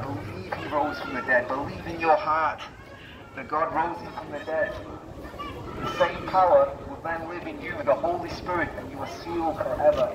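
A male street preacher delivers an evangelistic sermon in short, emphatic statements. His voice is fairly high and comes from some distance, with echo and clear outdoor street noise around it. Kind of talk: open-air evangelistic sermon. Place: outdoors on a busy pedestrian shopping street. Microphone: loudspeaker PA, picked up by camera at a distance. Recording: roomy, distant PA sound, noisy street.

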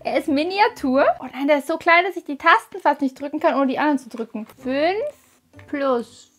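A woman in her twenties hosting a video in casual, playful chat, with a bubbly, expressive manner. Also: high-pitched, brisk. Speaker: YouTube host. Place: indoor living room on a sofa.

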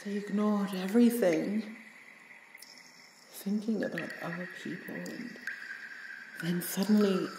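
Bitter, vengeful yandere played by a woman for audio roleplay; slow, halting, emotional delivery, roomy sound. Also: medium pitch, fairly even intonation, distant mic. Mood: sorrowful, wounded. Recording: roomy sound with faint noise.

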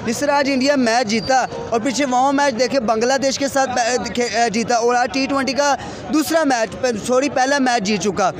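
A man's voice: extremely high pitch, normal speed, few pauses, crowd noise. A young Pakistani man interviewing people on the street about sport, speaking into a handheld microphone. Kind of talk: vox pop interview about cricket. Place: outdoors at night by parked cars and traffic. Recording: busy outdoor street noise.

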